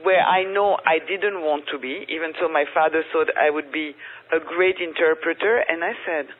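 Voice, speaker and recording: A woman's voice: low-pitched, steady tone, normal speed. A middle-aged woman with a French accent, a guest telling her story in a meandering, thoughtful way. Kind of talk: casual interview. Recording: tinny phone-line audio.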